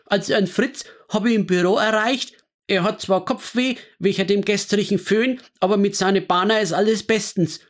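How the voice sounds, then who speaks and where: A man voicing an agitated elderly woman, talking fast on the telephone. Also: medium pitch, voice pushed up, natural voice. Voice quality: raised excited voice, dialect-heavy.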